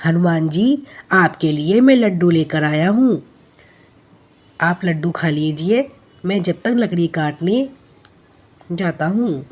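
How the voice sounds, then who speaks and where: Woman as an unhurried, earnest young woodcutter. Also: on the low side, lively intonation, darkish tone, breathy edge, exaggerated voice. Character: eager, naive young male woodcutter bird, devout. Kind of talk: Hindi cartoon story dubbing.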